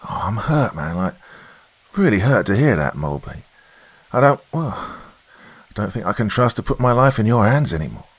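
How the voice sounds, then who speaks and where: A man's voice: sits low, expressive, normal speed, a bit bright, slightly rough, no echo, heavily acted. A man voicing an eager young British lance corporal with a cheeky, teasing manner in an audiobook. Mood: mock hurt. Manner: playful, teasing, feigning shock.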